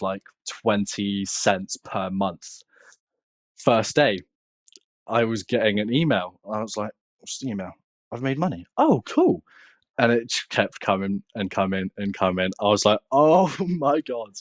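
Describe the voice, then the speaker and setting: A man with a British accent talks casually as an interview guest. His voice sits fairly low and sounds very dry, with no room around it. He tells things in a loose, halting, unpolished way. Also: dry recording. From United Kingdom. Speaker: podcast guest, content creator. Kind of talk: casual conversational storytelling.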